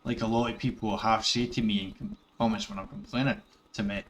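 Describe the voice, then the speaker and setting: A man's voice: lowish voice, steady tone, a little fast. Rambling Scottish man, gaming commentary. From Scotland. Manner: rambling, self-correcting, chuckles at himself. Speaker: gaming YouTuber. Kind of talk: casual commentary over gameplay.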